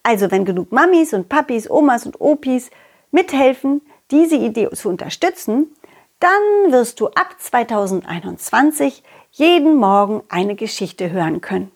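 A woman's voice: on the high side, big pitch swings, moderate pace. A woman voicing a confident, loyal, cheerful dog who talks affectionately and encouragingly to children in an audio story. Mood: chatty, encouraging. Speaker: puppeteer hosting a children's audio story podcast.

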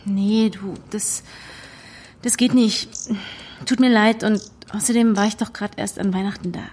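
A woman's voice: medium pitch, steady tone, measured pace, darkish tone, slightly rough. An actress playing a guarded young activist woman in naturalistic audio drama dialogue. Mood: guarded, reassuring. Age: young adult. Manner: reassuring, evasive.

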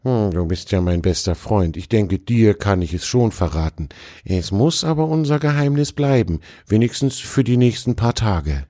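A man voicing a gentle, thoughtful male fox who talks softly and hesitantly. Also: fairly low pitch, lively intonation, normal speed, dry recording, studio quality, voice pushed up, natural voice. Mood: confiding. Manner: soft, hesitant, musing.

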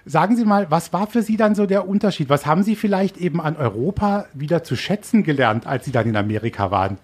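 Husky higher-pitched male interviewer. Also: expressive, fast. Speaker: interviewer, host. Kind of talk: casual radio interview.

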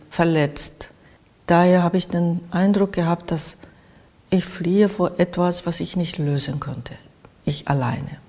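Older woman, Japanese accent, soft dark tone, halting phrasing, reflective interview talk, long room reverb. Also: low-pitched. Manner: halting, searching for words in non-native phrasing. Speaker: interviewed artist. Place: art studio in Berlin, indoors.

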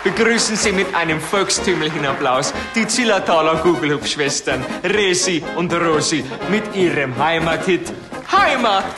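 Actor as a cheery folk show presenter. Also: male voice, on the high side, lively intonation, moderate pace, natural voice. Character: jovial folk music TV presenter man. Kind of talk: showbiz announcing. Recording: echoey room with folk music.

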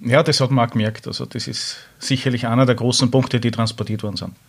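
Brief: man, podcast host, easygoing interviewer, mid-pitched voice. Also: fairly even intonation, fast talker, creaky.

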